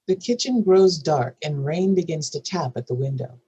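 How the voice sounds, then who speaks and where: Husky narrator reading screenplay directions. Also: mid-range voice, animated, normal speed, warm tone, lo-fi sound.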